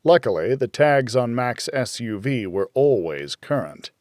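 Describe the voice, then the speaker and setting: Guy reading a noir audiobook, slow measured hardboiled delivery, dry studio sound. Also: medium pitch, big pitch swings, darkish tone, dry recording. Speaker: audiobook narrator. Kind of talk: scripted first-person noir thriller narration.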